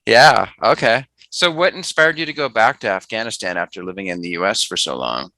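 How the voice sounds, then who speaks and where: A man's voice: on the low side, lively intonation, a little fast, bright, forceful tone, no echo. A man hosting a casual interview, asking rambling, chatty questions with many restarts.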